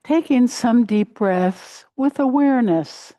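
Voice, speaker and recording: A woman teaching a guided meditation in a gentle, unhurried way, pausing between short phrases. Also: on the high side, no room sound. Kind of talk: guided meditation, body scan.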